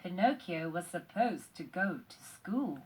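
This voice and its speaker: Slow, animated, slightly husky woman quiz narrator. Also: on the low side, rough recording. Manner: deliberate, questioning. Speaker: narrator of a children's read-along quiz. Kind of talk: scripted instructional narration for children.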